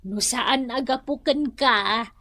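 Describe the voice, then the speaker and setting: Sickly old ilocano mom in a radio drama, plaintive, kinda high voice, very dry close sound. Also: female voice, slow, pushed and bright, no room sound, heavily acted. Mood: grateful, tender. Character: ailing, grateful elderly village mother.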